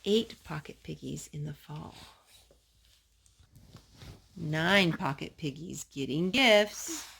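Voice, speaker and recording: A woman's voice: deep voice, lively intonation, takes it slowly, vocal fry, pauses often, a bit bright, slightly rough. A woman hosting a children's storytime, reading picture books aloud and chatting with a young child through simple questions and asides. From North Carolina.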